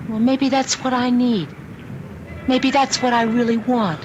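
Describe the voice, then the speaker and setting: An actress playing a defiant, streetwise but soft-hearted teenage girl in old-time radio drama, brash and slangy. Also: a little high, steady tone, a little slow, muffled recording, background noise, lightly acted. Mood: guarded, dismissive.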